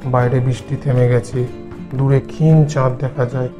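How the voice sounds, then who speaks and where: A man's voice: mid-range voice, level tone, moderate pace, music in the background. A man narrating a scripted horror story as the narrator.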